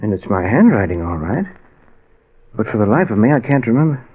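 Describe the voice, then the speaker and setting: An actor playing a distressed man who fears for his sanity, speaking haltingly in 1940s radio style. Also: lowish voice, sing-song, narrow, tinny audio. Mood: bewildered, troubled. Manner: halting, bewildered. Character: distressed man Martin, fearing his mind is failing. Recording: old radio drama recording, slightly husky sound.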